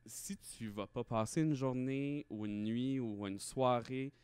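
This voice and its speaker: A man's voice: medium pitch, slow, a little mellow, clean tone, no room sound. A man in his thirties with a Quebec French accent, speaking candidly and emphatically as a guest in a casual interview. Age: 30s. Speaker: podcast guest, drag performer and hospital respiratory therapist.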